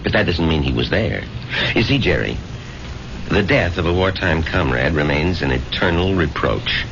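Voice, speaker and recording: An actor playing a calm, concerned doctor in a 1970s radio drama, deliberate, probing and clinical. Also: male voice, extremely low pitch, gravelly, full character voice. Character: concerned, reasoning male doctor, calm and learned. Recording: noisy lo-fi vintage radio broadcast.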